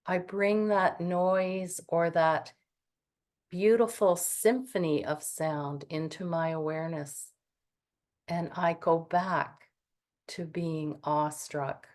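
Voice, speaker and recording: Slow, calm woman guiding a meditation. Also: on the low side. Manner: calm, gentle, soothing with long pauses. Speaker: meditation guide. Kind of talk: guided meditation.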